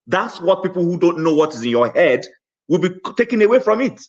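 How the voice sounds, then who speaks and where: A man on a debate panel, breaking in politely but firmly. His voice sits fairly high and moves a lot in pitch. The sound is muffled and lo-fi. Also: very animated, fairly quick. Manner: polite but insistent interjections. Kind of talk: heated debate. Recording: dull lo-fi call audio.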